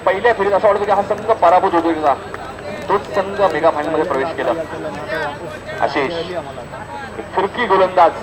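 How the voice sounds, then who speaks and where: A man giving live, informal cricket commentary at a village tournament, often repeating himself and addressing those around him. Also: a little high. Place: outdoor cricket ground. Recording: noisy outdoor event with loud crowd. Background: crowd and outdoor ambience noise.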